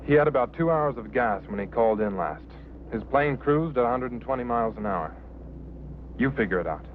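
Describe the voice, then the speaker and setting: An American actor playing an earnest pilot who takes mysteries seriously, in vintage film drama style. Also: male voice, medium pitch, expressive, fairly quick, natural voice. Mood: earnest, insistent. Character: earnest male pilot open to UFO mysteries. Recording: muffled, noisy old film soundtrack.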